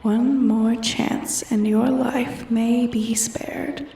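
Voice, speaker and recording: Woman acting as a female dragon, imperious and taunting, crackly creak in the voice, fairly high, roomy cave echo, roleplay ASMR. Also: fairly even intonation, warm tone, far from the mic, full character voice. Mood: menacing, taunting. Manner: taunting, imperious, slow and deliberate. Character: bored, menacing female dragon toying with a human thief.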